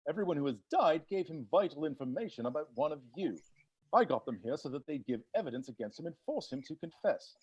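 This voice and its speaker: An actor voicing an excitable butler who explains a murder mystery in a theatrical, emphatic way during a comedy table read. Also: medium pitch, fast talker, breathy edge, lo-fi sound. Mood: explanatory, confident. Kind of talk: live table read of a comedy film script.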